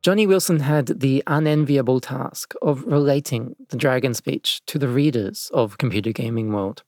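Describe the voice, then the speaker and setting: A man reading scripted documentary narration as a measured, dramatic storyteller. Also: mid-range voice, darkish tone, no echo, clean studio sound. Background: faint music.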